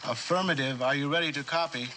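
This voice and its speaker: A man playing a brisk station ship radio operator in a 1960s drama, speaking in formal, precise procedure. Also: fairly high pitch, bright, forceful tone. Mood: businesslike. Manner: formal, precise reading of coordinates. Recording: old soundtrack with clear background noise.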